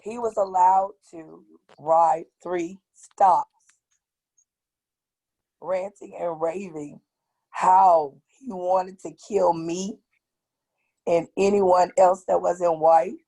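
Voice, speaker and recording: A woman with a raspy, hoarse voice telling a personal account on an online panel, halting and pausing often. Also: lowish voice, fairly even intonation, mellow, rough recording. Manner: slow, halting, with long pauses. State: hoarse from tear gas. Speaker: activist guest. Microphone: video call.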